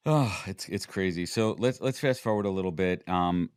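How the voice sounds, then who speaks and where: Deep-voiced man hosting, dry sound. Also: moderate pace, a little mellow, clear, resonant tone, dry recording. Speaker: podcast host. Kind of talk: casual conversational interview.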